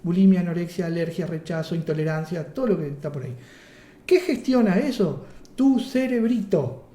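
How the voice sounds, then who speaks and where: A man with a Rioplatense Spanish accent leads a workshop lecture. His voice sits high, yet the tone is very dark and mellow. He teaches in a playful, chatty way, with rhetorical questions and jokes, and the sound rings in an echoey room. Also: animated, moderate pace.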